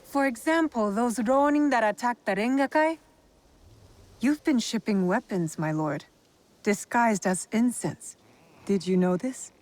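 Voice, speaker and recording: A woman voicing a determined heroine who questions lords directly and calmly in game cutscenes. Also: a little high, unhurried, natural voice. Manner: direct, probing and calm. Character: cool determined female shinobi heroine. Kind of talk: video game cutscene acting.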